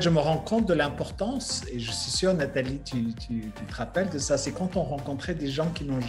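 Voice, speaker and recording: A middle-aged man from Lebanon speaking effusively and haltingly in an interview over a video call, dropping in English words. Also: mid-range voice. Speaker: guest, fashion creative director. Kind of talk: enthusiastic conversational interview. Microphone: remote video call.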